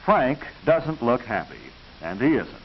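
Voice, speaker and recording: An American man reading scripted narration for a 1940s educational film, instructive and proper, with measured enunciation. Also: medium pitch, sing-song. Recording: hissy, muffled 1940s film soundtrack.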